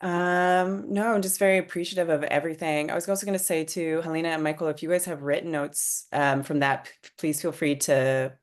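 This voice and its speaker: Woman writer-director, very deep register, talking informally and thoughtfully about creative work. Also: steady tone, fairly quick, few pauses. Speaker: screenwriter and director. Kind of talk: casual discussion after a script table read.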